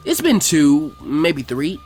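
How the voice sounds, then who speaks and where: Guy reading a young shop girl, dry and teasing, kinda high voice, music underneath. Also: sing-song, slow, darkish tone. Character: teasing, matter-of-fact young female weapons shop clerk.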